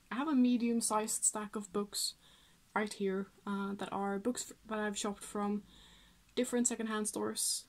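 A woman's voice: medium pitch, darkish tone. A young woman from Sweden with a Swedish accent, chatting casually to camera about books in a small room at home, with frequent fillers and small laughs. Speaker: book vlogger.

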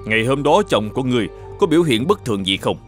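A male actor plays a resolute magistrate in a cartoon folk tale. His mid-pitched voice moves widely in tone, formal and commanding, with music underneath. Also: big pitch swings, natural voice. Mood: grave, composed. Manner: authoritative, measured, formal. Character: upright, determined provincial governor and magistrate.

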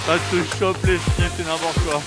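A man with a high voice drops dry, teasing comments between runs on the slopes. He is recorded out in the open on a helmet-mounted action camera. Loud music plays behind him. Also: little pitch movement, normal speed. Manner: wry, teasing remarks. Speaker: freeride skier filming with friends. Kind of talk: casual banter while skiing. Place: outdoors on snowy ski slopes.

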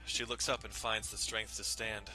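A man's voice: on the low side, little pitch movement, moderate pace, bright, forceful tone, soft background music, low-quality recording. A man giving a dramatic scripted narration of a visual novel. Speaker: narrator doing dramatic readings.

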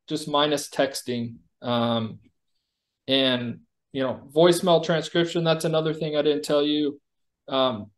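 A man with a somewhat higher-pitched voice gives a product demo. He talks in a conversational, informal way, explaining features as a company executive would to a prospective customer.

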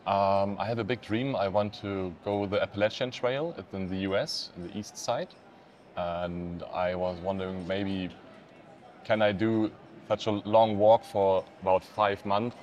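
A man's voice: lowish voice, a little slow, darkish tone, muffled recording. A man in his late twenties from Hamburg, a German native speaker, answering simply and hesitantly outdoors on a lapel mic. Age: 20s. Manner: hesitant, simple phrasing with fillers. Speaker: interviewee, pilgrim. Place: outdoors under a stone arcade.

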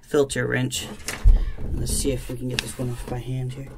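A man giving a casual, unhurried how-to narration while working outdoors, heard through a camera set near the job. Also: mid-range voice, completely flat delivery, creaky. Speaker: DIY mechanic demonstrating a repair. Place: outdoors in a driveway beside a jet ski. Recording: outdoor camera audio with background noise.

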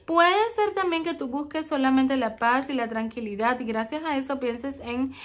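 A woman giving a tarot reading for a zodiac sign, talking in a halting way with many fillers and checking in with the listener. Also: high voice, fairly bright tone. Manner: hesitant, many fillers and ¿ok? tags. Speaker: tarot reader.

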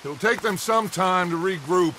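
An actor playing a proud, fraying middle-aged American outlaw leader in a video game, commanding and theatrical. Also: male voice, sits high, moderate pace, noisy background, lightly acted. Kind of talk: dramatic video game acting.

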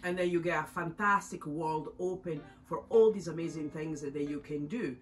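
A middle-aged woman from Italy with an Italian accent, a crochet teacher earnestly encouraging beginners in halting English. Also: sits low, soft background music. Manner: earnest, encouraging, halting non-native phrasing. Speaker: crochet teacher and shop owner. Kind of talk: promotional class introduction. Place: indoor yarn studio with shelves.

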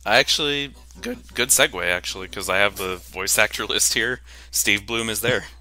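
Man, slightly deep, very sharp bright tone, casual gaming co-commentary, conversational. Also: normal speed, rough recording. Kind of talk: casual gameplay commentary chat.

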